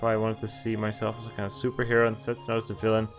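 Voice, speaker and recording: A man's voice: fairly low pitch, monotone, racing. A man reading visual novel dialogue and narration aloud in a conversational way, sometimes stumbling and correcting himself. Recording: game music behind the voice. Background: visual novel music.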